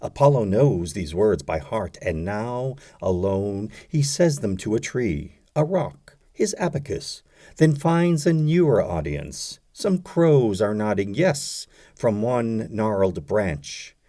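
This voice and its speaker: A man reading poetry aloud as an audiobook, with deliberate, dramatic phrasing and frequent pauses. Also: fairly low pitch, sing-song, mellow. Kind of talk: expressive poetry recitation.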